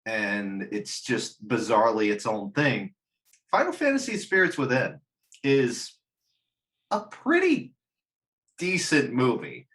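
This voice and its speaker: Guy on a casual panel chat, really lively pitch swings, rambly and sweary, laughs while talking. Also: slow, pauses often. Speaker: podcast panelist discussing films and games.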